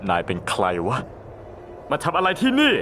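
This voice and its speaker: A man's voice: on the high side, wildly swooping pitch, moderate pace, background noise. A man dubbing a wary, blunt young male government agent who talks in curt, suspicious bursts. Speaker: fan voice actor dubbing a game. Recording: game music under the voice.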